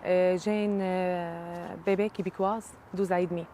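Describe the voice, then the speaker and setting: A woman's voice: on the low side, level tone, warm tone, a little hiss or hum. Hesitant Syrian woman in her thirties. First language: Arabic. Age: 30s. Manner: hesitant, many pauses and "euh" fillers. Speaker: interviewee. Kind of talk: casual interview.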